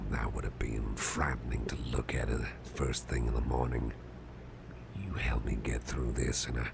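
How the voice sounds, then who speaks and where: A man playing a big, muscular but softened grumpy boss in an ASMR roleplay, speaking in a gravelly, breathy near-whisper with a gentle, slightly formal manner. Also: rumbling low, noisy background, exaggerated voice. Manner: slow, murmuring, gently reassuring, slightly formal.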